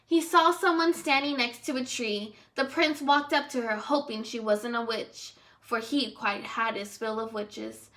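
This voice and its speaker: A woman teacher reading aloud to young children, expressive and speaking to her pupils directly. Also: a little high, unhurried, few pauses. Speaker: first grade teacher.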